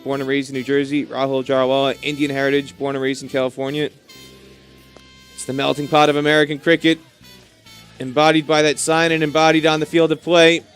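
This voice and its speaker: A man's voice: medium pitch, fairly bright tone. A man doing live cricket commentary in an enthusiastic, talkative way, explaining things as he goes. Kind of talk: live sports commentary with chatty asides.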